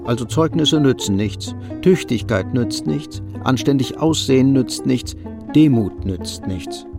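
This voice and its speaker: A man's voice: mid-range voice, measured pace. A man narrating a literary radio play in a wry, sympathetic, pointed way. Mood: wry, sombre. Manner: expressive, pointed phrasing. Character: wry, sympathetic storyteller of a struggling clerk's life. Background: plucked guitar music.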